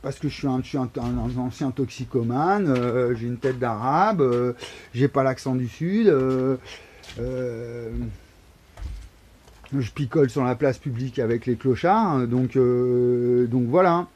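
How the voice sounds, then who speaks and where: A man in his forties talks into a phone held low at arm's length inside a wooden shed. His mellow, dark voice rambles on, stumbling over repeated words and breaking into emphatic bursts. A faint hiss runs under the recording. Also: unhurried. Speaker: vlogger. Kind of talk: rambling monologue to camera. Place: wooden shed or barn, indoors. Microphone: phone camera held low at arm's length.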